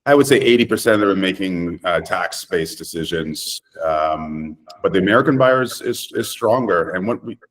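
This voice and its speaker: A middle-aged man from Canada with a fairly deep voice talks as a property developer in an interview. He answers conversationally and emphatically, often landing on short, declarative statements. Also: animated, normal speed, few pauses.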